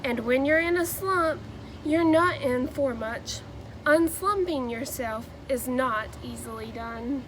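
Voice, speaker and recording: A woman, reading aloud to children in a deliberate, sing-song storybook way. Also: high-pitched, fairly bright tone, a little hiss or hum. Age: 20s. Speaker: new education graduate reading a children's book.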